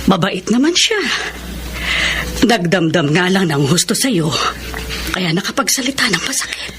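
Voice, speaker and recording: An actress playing a caring, gently prodding Filipino aunt of middle age in a radio drama. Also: female voice, on the low side, big pitch swings, measured pace, noisy background. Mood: encouraging. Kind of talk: Tagalog radio drama acting. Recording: music bed under the voice.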